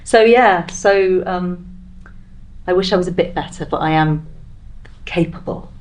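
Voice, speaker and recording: A woman with a slightly low voice talks as a panel guest. Her delivery is casual and conversational, as in a live chat. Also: a little slow, rough recording.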